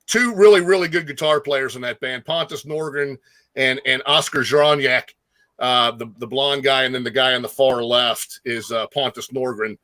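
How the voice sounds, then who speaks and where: Male co-host for casual music talk: forceful, close and dry sound, halting but keen fan delivery. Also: medium pitch, no echo. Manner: enthusiastic, halting, slangy. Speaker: guest co-host, metal fan.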